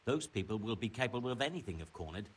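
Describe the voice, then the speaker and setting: An actor playing a stern, middle-aged medieval mining chief in a video game, brusque and commanding, with formal wording. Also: male voice, lowish voice, lively intonation, fast, husky. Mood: businesslike, cautioning. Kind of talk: scripted video game dialogue. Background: music.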